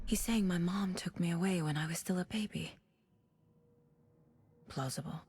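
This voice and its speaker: A woman's voice: fairly low pitch, moderate pace, background noise, close to an everyday voice. A woman playing a blunt, determined FBI agent in a video game, terse and probing. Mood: focused, brooding. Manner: terse, probing, matter-of-fact. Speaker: lead character in a video game. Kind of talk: cinematic game acting, with inner monologue.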